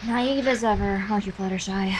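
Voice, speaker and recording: A woman's voice: mid-range voice, brisk, heavy background noise. A woman commenting casually on a story reading. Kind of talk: casual chat.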